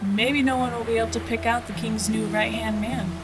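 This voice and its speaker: A woman's voice: fairly high pitch, steady tone, brisk, close to an everyday voice. A woman playing a tough, wry bounty hunter and pilot in an audio drama, dry, clipped and confident. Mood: wry, assured. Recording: noisy sound-effect backdrop.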